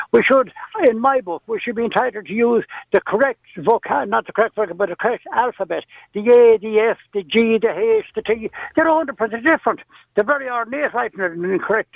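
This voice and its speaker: Irish older guy ranting on a call-in, fast and high, tinny phone sound. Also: gravelly, dry recording. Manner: passionate, insistent, talks over the host. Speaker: radio caller. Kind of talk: heated call-in argument.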